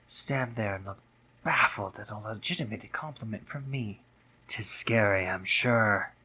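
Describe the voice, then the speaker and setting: A man playing a teasing, dominant, courtly spider monster in an intimate audio roleplay, theatrical and breathy, often dropping into whispers. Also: lowish voice, a little slow, bright, forceful tone, full character voice. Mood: mocking, teasing. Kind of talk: intimate scripted audio roleplay, addressing the listener.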